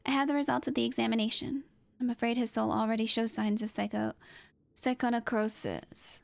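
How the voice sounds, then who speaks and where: A woman voicing a concerned game character, reading grave dialogue in a measured, serious and sympathetic manner. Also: a little high, little pitch movement, gravelly, no echo.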